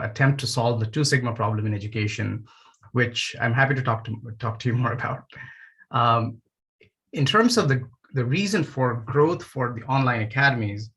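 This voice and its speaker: A man from Nepal in his forties, a panelist and education founder, speaking on a video call in a measured, thoughtful way with frequent fillers. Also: mid-range voice, fairly bright tone. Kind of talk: online panel remarks.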